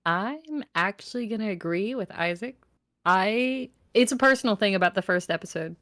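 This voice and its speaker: Female voice actor in casual panel talk, slangy and exclamatory, mid pitch, dry recording. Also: lively intonation, moderate pace, a bit bright, no echo. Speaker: audio drama voice actor on a panel. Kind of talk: casual chat.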